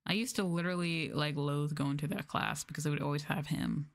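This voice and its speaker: A woman's voice: low-pitched, fairly even intonation, brisk. A woman chatting casually on a livestream, telling stories in informal, slangy language with an exasperated manner. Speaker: livestreamer and former English teacher.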